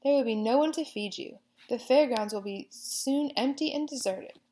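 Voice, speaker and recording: Woman doing a calm, dying spider. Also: normal speed, close to an everyday voice. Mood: firm. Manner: calm, soft and low. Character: gentle, wise female spider, weak and dying.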